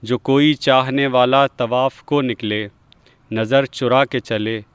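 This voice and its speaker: A man's voice: mid-range voice, fairly even intonation, slow, a bit bright, clean tone, dry recording. A man presenting and reciting Urdu poetry.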